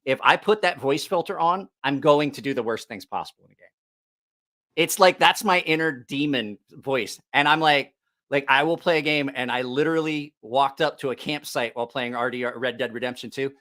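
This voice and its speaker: A man talks casually as a livestream host at a middling pitch. He rambles, doubles back to repeat himself and swears freely. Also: normal speed, sharp tone. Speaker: YouTube knife channel host.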